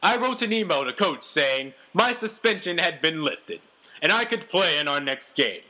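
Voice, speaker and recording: A man's voice: a little high, very animated, moderate pace, bright, forceful tone, full character voice. A man voicing a brash, self-important ex-convict quarterback telling his own story with melodramatic, boastful delivery. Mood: indignant, confiding.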